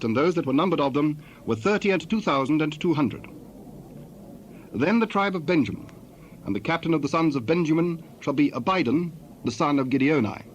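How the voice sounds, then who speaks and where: Higher-voiced man narrating scripture. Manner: formal, measured reading. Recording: dull sound, faint background noise.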